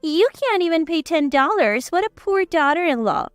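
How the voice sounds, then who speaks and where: A woman's voice: very high voice, animated, normal speed, no echo, soft background music, pitched above the performer's own voice. A woman voicing a cold, commanding mother-in-law of sixty-four who talks down to others. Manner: commanding, contemptuous.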